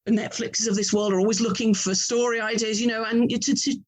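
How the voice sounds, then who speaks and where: A woman's voice: a little high, flat delivery, fairly quick. A middle-aged woman with an English accent answers interview questions conversationally, in a chatty, reflective way, often interrupting herself. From England. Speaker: author and audio drama producer being interviewed.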